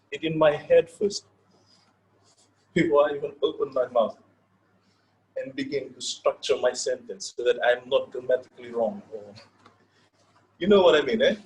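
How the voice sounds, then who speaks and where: Halting Fijian man lecturing. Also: a little high, animated, darkish tone, rough recording. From Fiji. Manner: halting, repeats words, pauses, checks in with listeners. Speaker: session leader. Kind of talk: informal lecture.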